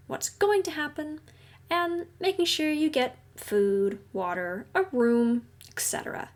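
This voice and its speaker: A woman playing a caring, reassuring counselor at a secret base in an audio roleplay, speaking gently and explaining things soothingly. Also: high-pitched. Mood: friendly, attentive. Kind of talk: audio roleplay addressed to the listener.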